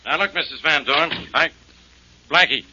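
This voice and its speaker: An actor playing a blunt, exasperated police inspector in a 1940s radio drama. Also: male voice, a little high, moderate pace, noisy background, natural voice. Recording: dull vintage 1940s radio recording.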